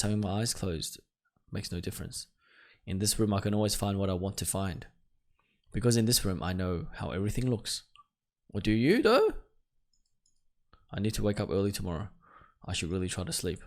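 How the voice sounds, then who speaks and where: A man doing let's-play commentary, reading game text aloud and reacting in a jumpy, nervous way. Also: fairly low pitch. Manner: jumpy, exclaims nervously between read-out passages. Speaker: video game streamer.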